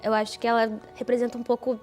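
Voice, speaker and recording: A woman's voice: on the high side, level tone, quick pace, a little mellow, soft background music. A woman with a Brazilian accent, a theatre performer talking reflectively in an interview.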